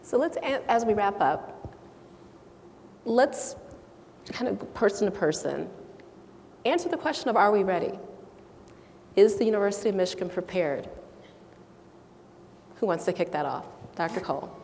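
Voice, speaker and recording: A woman moderating a panel, briskly inviting answers. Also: medium pitch, lively intonation, gravelly, darkish tone, a little hiss or hum. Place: large auditorium. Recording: distant mic, long hall reverb.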